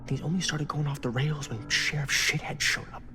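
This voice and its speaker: A wisecracking young slacker in a horror game. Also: male voice, a little high, fairly even intonation, a little fast, pushed and bright, soft background music. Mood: wry. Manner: slangy, profane, joking. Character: wisecracking young slacker guy, loose and sardonic. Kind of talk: cinematic horror game acting.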